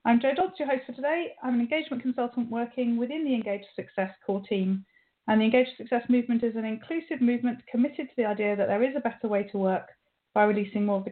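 A woman with a British accent hosting a radio interview show. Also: on the high side. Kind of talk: scripted show introduction and conversational interviewing. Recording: narrow phone-line sound.

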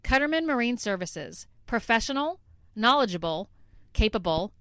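A woman reading a scripted promotional advertisement in a polished, measured, deliberate way. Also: on the high side, sing-song, fairly bright tone, smooth, no room sound, clean studio sound. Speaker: advertisement announcer.

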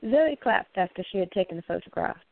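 Female narrator, mid pitch, gravelly creak, scripted storytelling, narrow phone-like sound. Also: lively intonation, a little fast, no room sound.

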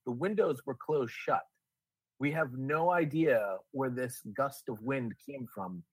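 A man's voice: mid-range voice, unhurried, darkish tone, breathy edge, no echo, rough recording. A man co-hosting a podcast, telling a personal anecdote in a casual, conversational way, step by step. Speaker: podcast co-host.

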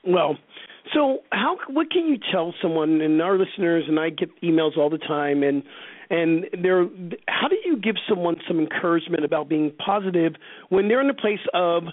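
A man hosting a conversational radio interview, feeling out questions haltingly and restating them several times. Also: a little high, lively intonation, dry recording. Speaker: radio show host, interviewer. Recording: thin phone-like radio audio.

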